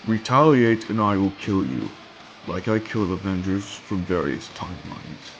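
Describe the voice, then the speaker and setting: A man voicing a ruthless, arrogant conqueror villain in an amateur dramatic reading, gravelly and full of grandiose threats. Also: deep voice, expressive, a little slow, highs cut off, a little hiss or hum. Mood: cold menace. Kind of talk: amateur dramatic reading of comic fan fiction. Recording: music under the voice.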